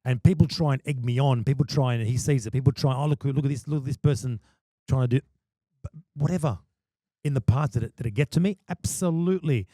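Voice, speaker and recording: A man talks about his work in an emphatic, passionate way, stumbling over repeated words before each point lands. His voice is mellow and dark, and it is recorded close and dry with almost no room sound. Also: medium pitch, fast talker, dry recording. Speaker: podcast guest, wedding MC. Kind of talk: casual podcast chat.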